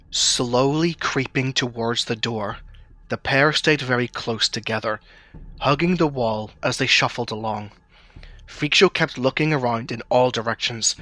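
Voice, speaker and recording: A man's voice: medium pitch, pushed and bright. A man reading scripted third-person narration for an audio drama. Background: music.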